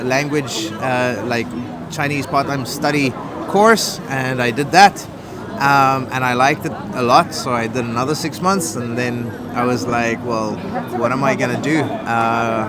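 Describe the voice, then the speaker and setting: Chatty South African man on a busy street. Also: medium pitch. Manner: friendly, rambling with many fillers. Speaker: interviewee. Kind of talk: street interview answers. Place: busy city street outdoors. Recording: busy street crowd behind.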